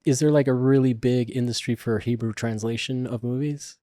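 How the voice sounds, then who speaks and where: A man's voice: mid-range voice, fairly even intonation, fairly quick, dark tone, no echo, clean studio sound. A man whose first language is Spanish, hosting a casual interview and thinking aloud with many restarts and self-corrections. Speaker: podcast host.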